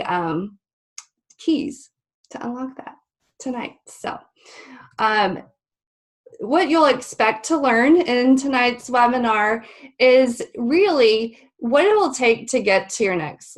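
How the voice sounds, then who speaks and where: A woman hosting a webinar, a little stuffy and nasal, talking in a friendly, chatty way with many ums. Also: fairly high pitch. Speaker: webinar host. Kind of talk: live webinar presentation. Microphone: video call.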